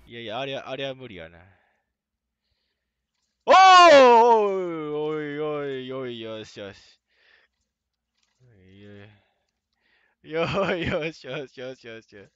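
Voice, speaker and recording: A man reacts casually to a game in short exclamations. His voice is fairly high, clear and animated, recorded very dry. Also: slow, long pauses, no room sound, highs cut off. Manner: exclamatory, short repeated interjections.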